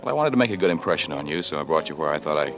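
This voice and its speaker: An actor playing a suave upper-class young American suitor, romantic and gently teasing, in 1940s radio drama style. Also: male voice, very deep voice, animated, rapid-fire. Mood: charming. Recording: muffled vintage radio recording with music.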